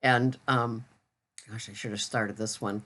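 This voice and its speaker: Low-voiced older woman chatting casually. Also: fairly even intonation, measured pace, fairly bright tone. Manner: chatty, rambling, self-deprecating. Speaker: keto vlogger. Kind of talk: casual talking-head chat.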